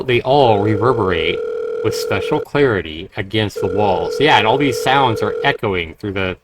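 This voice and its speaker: Male horror game streamer over loud noise. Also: mid-range voice. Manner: dramatic reading mixed with casual reactions. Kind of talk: livestream game read-aloud and commentary. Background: horror game audio.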